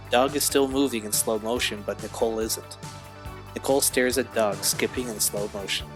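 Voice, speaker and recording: A man narrating an audio drama from a script, describing the action in a matter-of-fact way. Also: lowish voice.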